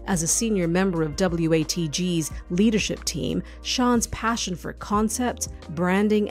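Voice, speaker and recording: A woman hosting an interview podcast, reading a polished introduction and asking her guest questions. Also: on the low side. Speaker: podcast host.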